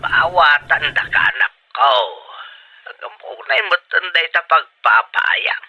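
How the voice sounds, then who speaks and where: A man's voice: a little high, a little slow, dry recording. An actor in an Ilocano audio drama playing a concerned older father, heard through a telephone filter. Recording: voice through a phone line.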